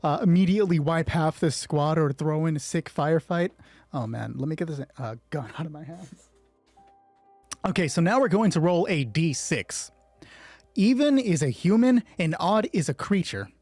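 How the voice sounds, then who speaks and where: A man with a slightly high voice runs a solo tabletop roleplay as its game master. He shifts between clipped, scripted narration and loose, informal play commentary. The sound is dry and close, with music underneath. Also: lively intonation, normal speed, a little mellow, dry recording. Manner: informal, swearing, thinking aloud during play. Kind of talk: hushed scripted story narration alternating with casual game commentary.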